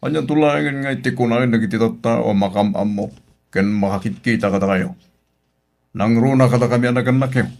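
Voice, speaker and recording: Man acting a gravelly, authoritative father. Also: medium pitch, fairly quick, a little mellow, lightly acted. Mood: firm, advising. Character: authoritative middle-aged father counselling a young couple. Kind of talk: Filipino radio drama acting.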